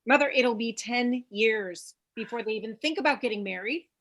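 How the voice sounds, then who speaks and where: Housewife and mother in a satirical play, woman reading: inquisitive, uneasy, lightly high-pitched. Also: normal speed, fairly bright tone, a little hiss or hum. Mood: placating. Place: video call.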